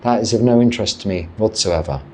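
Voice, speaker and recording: An English man in his fifties, a Buddhist monk, speaking calmly and reflectively as he answers a viewer's question, with pauses and self-corrections. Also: fairly low pitch, slight background noise. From England.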